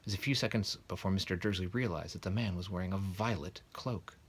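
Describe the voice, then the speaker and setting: A man's voice: low-pitched, fast talker, breathy edge. A man in his thirties reading a children's story aloud as a narrator. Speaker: guest reader of a children's novel. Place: small bedroom, indoors, sitting in bed.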